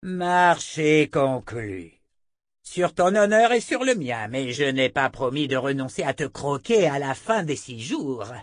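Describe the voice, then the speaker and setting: A man's voice: on the high side, big pitch swings, measured pace, no room sound, clean studio sound, pitched above the performer's own voice. A man voicing a huge, fierce female dragon in an audiobook, haughty and menacing. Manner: haughty, spitting, growling threats. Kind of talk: audiobook character voice.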